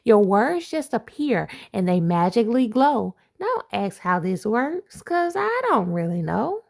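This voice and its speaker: A woman reads a rhyming picture book aloud to children, taking her time and letting her voice swoop up and down with delight. Her voice sits fairly high and carries a gravelly edge of fry. She breaks off now and then for playful asides to her young listeners. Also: measured pace. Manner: expressive storybook reading with playful asides. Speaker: assistant principal reading aloud.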